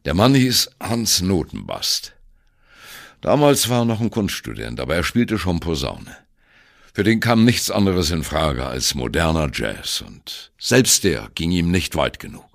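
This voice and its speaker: An actor playing a male jazz musician who wryly and candidly recalls his youth in a radio play monologue, in a gravelly voice. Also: extremely low pitch, big pitch swings, unhurried, full character voice. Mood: wry reminiscing. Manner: wry, candid, self-deprecating. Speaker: narrator of an audio drama. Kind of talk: first-person radio play monologue, storytelling.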